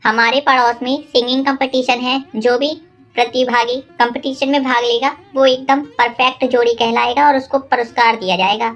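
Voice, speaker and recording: A woman's voice: a little high, fairly even intonation, normal speed, lightly acted. A woman voicing polite, chatty young women of a neighbourhood in a cartoon moral story, explaining things politely.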